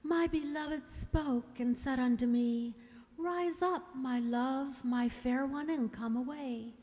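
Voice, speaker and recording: A woman's voice: high voice, takes it slowly, mellow. A woman playing an emotional young girl in an old-time radio drama, full of sighs and exclamations. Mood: wistful. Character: dreamy, then frantic young girl named Helen. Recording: long hall reverb.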